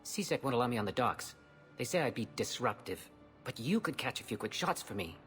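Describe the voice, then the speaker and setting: Eager, fast-talking filmmaker. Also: male voice, medium pitch, sing-song, a bit bright, long reverb tail, soft background music. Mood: hopeful, grateful. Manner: persuasive, earnest. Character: eager, pleading male documentary filmmaker. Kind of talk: video game acting.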